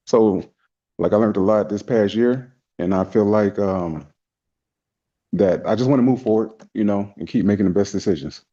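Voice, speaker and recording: Male defendant, soft dark tone on the low side, colloquial courtroom statement, muffled audio. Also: normal speed. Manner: colloquial, peppered with "you know". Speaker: defendant addressing the judge. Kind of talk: informal personal statement in court.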